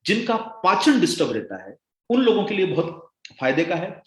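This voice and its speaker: Animated male product trainer, echoey room. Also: normal speed. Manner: animated, addresses listeners as "saathiyon", rising emphatic pitch. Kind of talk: sales training talk.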